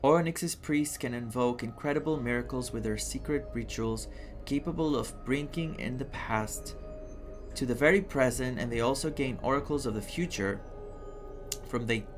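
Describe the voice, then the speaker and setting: A man from Greece doing game playthrough commentary and reading game text aloud in an expressive, enthusiastic manner. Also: medium pitch, normal speed. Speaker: gaming streamer. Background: game music.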